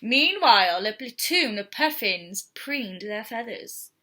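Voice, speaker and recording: A woman reading a story aloud to young children in an expressive, theatrical storytelling style. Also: on the high side, slow pace. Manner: theatrical, with whispers and sound effects.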